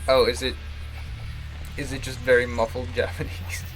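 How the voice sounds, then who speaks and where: A man's voice: mid-range voice, monotone, moderate pace, fairly bright tone, rough recording. A man giving casual, rambling live commentary as he plays a visual novel, thinking aloud hesitantly. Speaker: streamer playing a visual novel. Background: game music.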